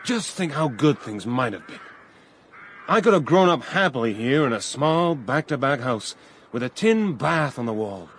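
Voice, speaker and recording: An actor playing a brash, sentimental Canadian millionaire with a North American accent in a comic radio drama, theatrical in manner. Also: male voice, mid-range voice, expressive, normal speed, fairly bright tone, breathy edge. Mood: wistful, bitter. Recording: faint outdoor ambience with crows. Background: crows and faint outdoor ambience.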